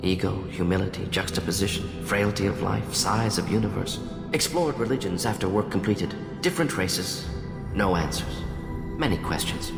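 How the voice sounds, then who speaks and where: A man's voice: low-pitched, normal speed, creaky. A male actor playing a proud, conflicted alien scientist in a video game, speaking in clipped, telegraphic phrases with small words dropped. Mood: reflective. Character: fast-thinking alien scientist doctor, proud, conflicted, defensive. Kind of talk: dramatic video game dialogue. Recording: echoey hall reverb, music behind.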